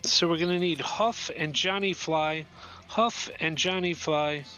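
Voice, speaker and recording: A man running an online drone race who calls out teams and lane colors in an unhurried way. His voice is high and bright, and he speaks slowly. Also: steady tone, muffled recording, faint music behind. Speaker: race director and host.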